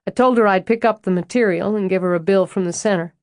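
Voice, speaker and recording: A woman's voice: mid-range voice, quick pace, a little mellow, dry recording, studio quality, close to an everyday voice. A woman voicing an easygoing, flirtatious man. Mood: teasing. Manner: drawling, teasing. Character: easygoing flirtatious southern man, teasing landscaper.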